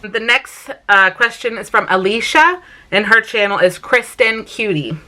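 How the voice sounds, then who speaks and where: A woman in her thirties with a soft Southern accent talks casually straight to the camera in a small room at home. Her voice is bright and forward at an ordinary pitch. She chats along loosely, scattering "um" and "like" through her sentences. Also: a little slow. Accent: Southern US (South Carolina). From South Carolina, raised in Vermont. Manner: chatty, many "um" and "like" fillers. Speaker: mom vlogger.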